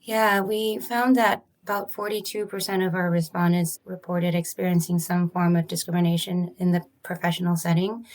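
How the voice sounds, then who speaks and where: A woman speaks in a low, even tone with little rise and fall. She explains her research in a measured, earnest way, the pace careful and steady. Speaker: ophthalmologist, study author. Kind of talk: podcast interview answers.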